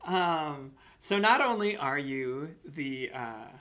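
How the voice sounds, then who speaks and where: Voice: medium pitch, animated, takes it slowly, a bit bright. A podcast host interviewing casually, thoughtfully working out comments and questions while speaking.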